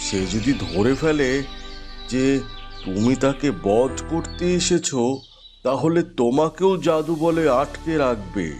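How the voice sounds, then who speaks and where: A slow, mid-pitched magic tree acted by a man gives careful counsel. It is a cautioning fairy tale cartoon helper, with music behind it. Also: animated, darkish tone. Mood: grave warning.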